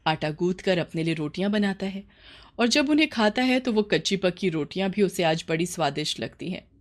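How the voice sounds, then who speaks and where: A woman storyteller narrates a scripted tale. Her voice sits at a medium pitch as she reads the narration of a moral story.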